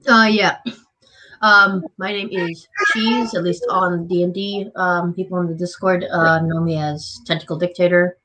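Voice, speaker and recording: A woman's voice: lowish voice, steady tone, unhurried, pushed and bright, a little hiss or hum, rough recording. A woman guest on a casual group podcast, joking and playful.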